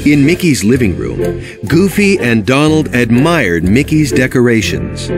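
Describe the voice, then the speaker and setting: A man's voice: mid-range voice, very animated, unhurried, over music. A man narrating a children's read-along storybook from a script.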